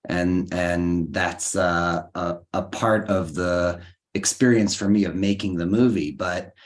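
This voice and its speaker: A man from Los Angeles with an American accent, answering as a filmmaker guest in an earnest, halting way with restarts, over a video call. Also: sits low, fairly even intonation. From Los Angeles, USA. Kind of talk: panel interview answer.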